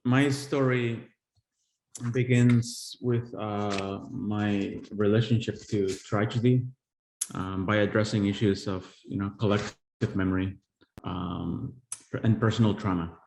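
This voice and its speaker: Halting low-voiced man in an echoey room. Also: steady tone, vocal fry, a little mellow, slight background noise. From El Salvador. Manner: hesitant, halting, full of pauses and restarts. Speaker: visual artist giving a talk. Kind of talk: live-streamed artist presentation.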